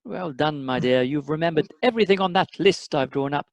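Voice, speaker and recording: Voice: mid-range voice, fairly quick, no room sound, natural voice. An amateur actor playing a pompous, thrifty English retired civil servant husband, fussy and superior, in a radio comedy. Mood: pompous, satisfied.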